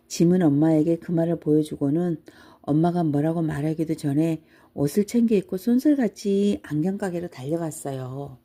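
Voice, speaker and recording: A woman reads a children's story aloud in a soft-toned, mellow voice that sits a little low. Her delivery is scripted storybook narration meant for bedtime. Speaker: storybook reader.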